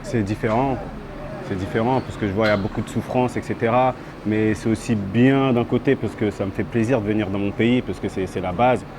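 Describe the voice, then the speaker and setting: A young man from France, a rapper being interviewed outdoors, talking in a halting way and switching between French and Lingala. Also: fairly low pitch. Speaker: rapper guest. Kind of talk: street interview. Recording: outdoor street noise.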